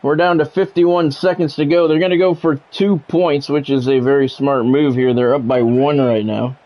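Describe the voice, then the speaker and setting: A man streaming a football video game, giving excitable play-by-play and bluntly talking at the players in short, urgent, repeated phrases. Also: mid-range voice, moderate pace, lo-fi sound. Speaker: gaming streamer doing play-by-play.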